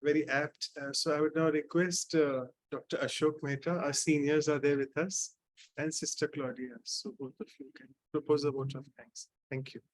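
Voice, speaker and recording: A man's voice: fairly high pitch, little pitch movement, normal speed, low-quality recording. Polite male moderator. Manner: polite, relays the question with "uh" fillers. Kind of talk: hosting a workshop Q&A.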